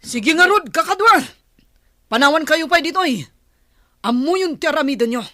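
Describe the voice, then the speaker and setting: A very high-voiced bold young warrior. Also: female voice, expressive, normal speed, bright, forceful tone. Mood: commanding, eager. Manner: declamatory, commanding. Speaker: radio drama actor. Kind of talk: Philippine radio drama acting.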